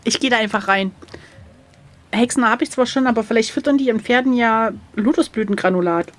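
A woman's voice: a little high, fairly quick, fairly bright tone. A woman playing along with an adventure gamebook in casual, slangy chat, joking and sarcastic. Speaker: co-host and player.

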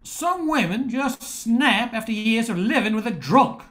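A woman's voice: fairly high pitch, lively intonation, a little slow. An older woman playing a blunt, suspicious police officer in an amateur radio play, matter-of-fact in manner. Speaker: amateur radio drama performer. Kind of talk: old-time radio play acting.

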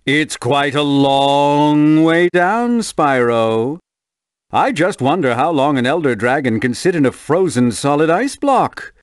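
A man's voice: on the high side, expressive, slow pace, clean tone, no room sound, clean studio sound. A man voicing a greedy, sly merchant creature in a video game, drawling with smug theatricality. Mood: smug, sardonic. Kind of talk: video game character dialogue.

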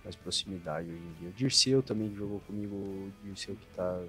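A Brazilian man in his thirties talking over his career in a relaxed way, with lots of fillers, as a podcast guest. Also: low voice, a little fast, faint music behind. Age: 30s. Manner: relaxed, thoughtful storytelling with many fillers. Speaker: interview guest, retired footballer. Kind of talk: casual podcast interview. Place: podcast studio with shirts on the wall. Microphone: desk mic on a stand.

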